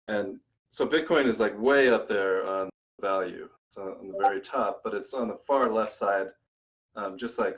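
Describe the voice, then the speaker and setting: Man, slightly deep voice, informal and halting explainer on an online community call. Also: poor audio. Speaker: participant in a community livestream. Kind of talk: casual explanation. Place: remote voice call.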